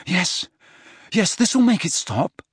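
A desperate young wizard in his teens acted by a male narrator for a fantasy audiobook. The voice is lifted higher and is breathy with strain. He sounds frightened yet keeps coaxing and reassuring urgently. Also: very animated, a little slow, pushed and bright, dry recording, studio quality, heavily acted.

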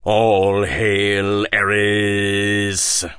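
A man's voice: fairly low pitch, very animated, fairly bright tone. An actor playing a solemn, sardonic, crude-mouthed sect leader who intones his words in an audiobook drama. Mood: solemn chanting. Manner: slow, solemn intoned chanting.

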